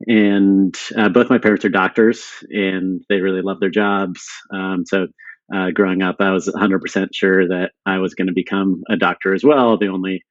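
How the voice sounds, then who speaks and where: A man's voice: low voice, no room sound, dull audio. A man in his early forties, a podcast guest, talking casually and hesitantly with many "uh"s and restarts. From born in Sweden, raised in San Diego. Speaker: podcast guest, company co-founder. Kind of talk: casual interview talk.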